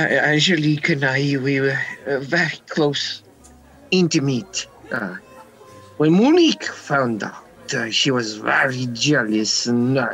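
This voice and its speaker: A man playing an uneasy, protective nightclub owner in a comic radio mystery, halting and stammering. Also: on the high side, fairly bright tone, breathy edge, exaggerated voice, poor audio.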